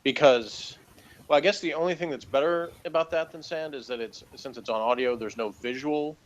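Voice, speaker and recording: A man's voice: on the high side, normal speed, dull audio, a little hiss or hum. A man giving a casual, opinionated review in conversation, blunt and rambling in his criticism. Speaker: co-host of an episode review show.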